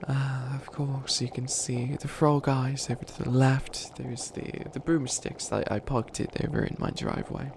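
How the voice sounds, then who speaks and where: Man playing a theatrical, creaky-voiced bumbling witch. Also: mid-range voice, steady tone, normal speed, hardly any pauses, noisy background, lightly acted. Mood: eager, inviting. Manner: theatrical, gleefully scheming, rambling. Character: bumbling, dramatic bunny witch who thinks himself evil. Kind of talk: comedic ASMR roleplay.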